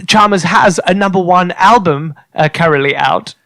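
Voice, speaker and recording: A man playing an earnest, polite traveler from the future on an improvised comedy podcast, speaking in a halting, formal, apologetic way. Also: high-pitched, bright, forceful tone, dry recording. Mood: matter-of-fact, informative.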